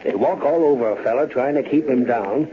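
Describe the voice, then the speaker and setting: An actor playing a gossipy, shrewd frontier saloon fellow with a rustic American drawl, wry and talkative, in old radio-western style. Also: male voice, mid-range voice, a little fast, lightly acted. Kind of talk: 1930s-40s radio western acting. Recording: muffled, hissy old-time radio recording.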